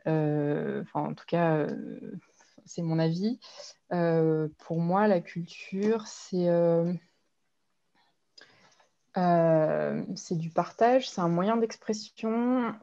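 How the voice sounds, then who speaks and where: A woman with a warm, mellow voice speaks on a video call, searching for her words and restarting often. The sound is muffled and lo-fi. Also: lowish voice, extremely slow. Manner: hesitant, many fillers and restarts. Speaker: guest artist. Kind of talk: online press conference answers. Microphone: video call mic.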